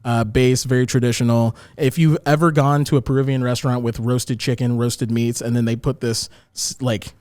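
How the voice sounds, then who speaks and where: A chef guest, a man, explains a dip recipe in loose, joking talk full of side comments. His voice sits at an ordinary middle pitch. The sound is dry and close, with no room in it. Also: level tone, moderate pace, hardly any pauses, darkish tone, no echo. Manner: joking, rambling explanations with fillers. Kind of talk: casual cooking chat.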